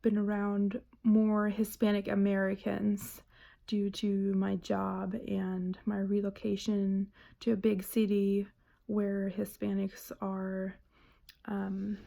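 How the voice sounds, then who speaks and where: Slow, flat woman, gravelly. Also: mid-range voice. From Germany. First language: German. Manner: hesitant, frequent "um" pauses. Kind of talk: spoken reading response.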